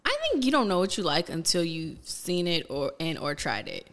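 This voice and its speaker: A woman's voice: sits low, normal speed. A woman co-hosting a podcast in frank, casual chat, laughing often. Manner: frank, laughs a lot, animated. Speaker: podcast co-host.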